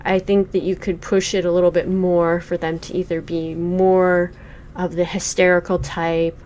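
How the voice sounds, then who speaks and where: A woman's voice: on the low side, flat delivery, normal speed, darkish tone, slight background noise. A woman in her forties giving casual, thoughtful commentary on a game she is playing, full of ums and likes. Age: 40s. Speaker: game reviewer. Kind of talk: casual let's play commentary.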